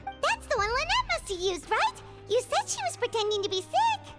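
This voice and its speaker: Squeaky, very high little fairy girl. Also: moderate pace, full character voice. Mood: eager. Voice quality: squeaky, childlike. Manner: bubbly, expressive, refers to herself in third person. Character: small childlike fairy girl companion, curious, excitable, chatty. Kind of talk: video game voice acting. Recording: music behind the voice.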